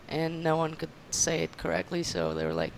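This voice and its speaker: Young woman, gravelly. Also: low-pitched, moderate pace, slight background noise, rough recording. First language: Albanian. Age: young adult. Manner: relaxed, plainspoken, hesitant fillers. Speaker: college athlete guest. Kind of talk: casual interview answers. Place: restaurant. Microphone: headset.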